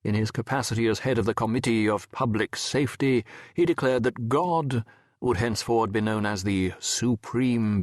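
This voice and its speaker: A man narrating an audiobook as a wry, reflective French medical professor telling his memoir in a measured, erudite, wistful way. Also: mid-range voice, dry recording, studio quality. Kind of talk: scripted first-person literary narration.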